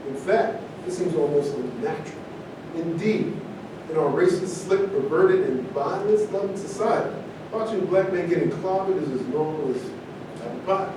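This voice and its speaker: A distant, noisy recording with heavy echo carries an actor as a provocative, argumentative man with a high voice. He declaims his opinions as in a stage play. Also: normal speed, natural voice. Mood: lecturing. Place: echoey room at a live staged reading. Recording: distant mic, very echoey room, noisy rumble.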